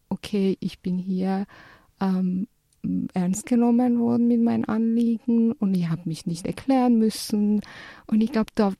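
A woman's voice: medium pitch, creaky, dark tone, no room sound. A woman as a radio guest, explaining reflectively in conversation and hesitantly searching for her words.

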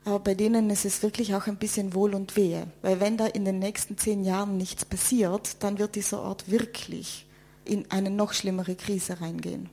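Gravelly woman. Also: medium pitch, moderate pace. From South Tyrol, Italy. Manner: lively, repeats words when searching for them. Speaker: panel guest. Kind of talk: casual discussion.

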